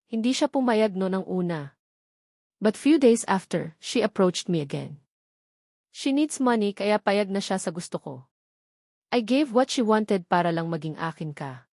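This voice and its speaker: A woman's voice: mid-range voice, darkish tone, no echo, studio quality, close to an everyday voice. A Filipino woman voicing a desperate, heartbroken rich man in love, pleading intensely in a romance audiobook. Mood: earnest confession. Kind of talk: dramatic audiobook character dialogue in Taglish.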